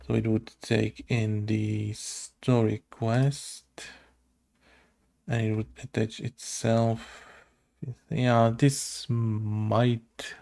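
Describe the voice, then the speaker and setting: Slow, halting man muttering in creaky low-key tones. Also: level tone, dark tone. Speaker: game developer. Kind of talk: casual thinking aloud while coding.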